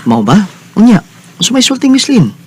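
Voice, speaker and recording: A man's voice: sits high, wildly swooping pitch, a little fast, breathy edge, exaggerated voice. An actor playing a kind, attentive man in a radio drama, speaking expressively and conversationally with a Cebuano Filipino accent. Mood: curious, impressed. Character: Robin, kind, attentive man hosting and admiring a woman friend.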